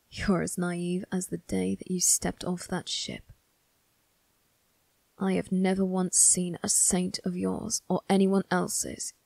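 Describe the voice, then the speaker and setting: A woman performs a young male vampire crime boss for an ASMR roleplay, low and gravelly. He speaks slowly in a husky half-whisper, cold and possessive. His manner is measured, mocking and quietly menacing. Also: no echo, heavily acted. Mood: bitter contempt. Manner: slow, measured, condescending. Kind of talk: ASMR character roleplay addressed to the listener.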